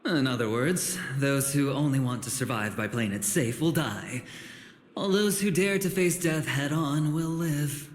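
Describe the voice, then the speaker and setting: Swaggering theatrical gambler, played by a man, swooping pitch. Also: animated, natural voice. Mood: bold, amused. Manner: theatrical, teasing, drawn-out delivery. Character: suave, theatrical male gambler who relishes risk. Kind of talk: anime game voice acting. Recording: echoey hall reverb, faint noise.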